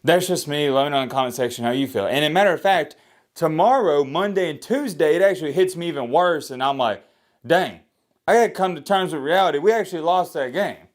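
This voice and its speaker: Animated young man. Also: a little fast. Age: 20s. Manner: animated, rising pitch when emphatic, sighing. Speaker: sports commentator and fan vlogger. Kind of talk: rambling casual commentary to camera.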